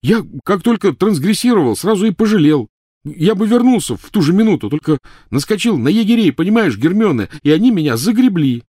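A man's voice: mid-range voice, big pitch swings, brisk, darkish tone, dry recording, studio quality, voice pushed up. A man voicing a defensive, talkative teenage boy wizard pleading his case in an audiobook reading.

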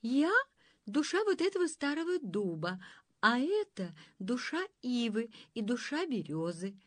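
A steady old oak-tree spirit speaking in a slow, measured way, a little raised in pitch as a woman voices it. Storybook reading, dry and close. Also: no room sound. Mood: calm.

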